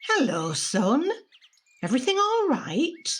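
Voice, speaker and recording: A woman playing a gentle, reflective grandmother in an audio drama, speaking in a measured, heartfelt way, encouraging and tender. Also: sits high, huge pitch swings, heavily acted. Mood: warm, chatty. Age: older. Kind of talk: audio drama monologue and dialogue. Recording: noticeable background noise with birdsong.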